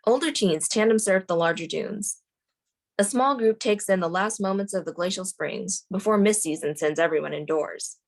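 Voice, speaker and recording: Female table-read narrator, medium pitch, delivering scene headings and action lines from a script. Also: moderate pace. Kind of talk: reading screenplay stage directions aloud.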